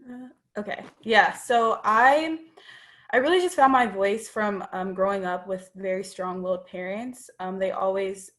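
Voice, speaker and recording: Young female student athlete, flat even delivery, thoughtful. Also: mid-range voice, unhurried. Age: young adult. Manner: thoughtful, with frequent fillers. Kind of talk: roundtable discussion.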